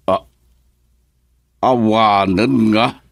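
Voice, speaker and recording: An actor in an Ilocano radio drama playing an irritable, weary middle-aged husband and father with a gruff temper. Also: lowish voice, steady tone, drawn-out words, dry recording, clean studio sound, exaggerated voice. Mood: exasperated, shocked.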